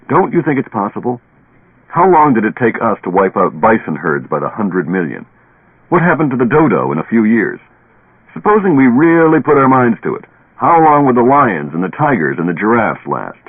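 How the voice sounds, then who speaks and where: An actor playing a stubborn, haunted, tipsy professor who lectures deliberately and dramatically in a radio play. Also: male voice, on the low side, sing-song, slightly rough, phone-quality sound, exaggerated voice. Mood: insistent. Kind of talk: old radio drama acting. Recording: band-limited old radio recording, echoey.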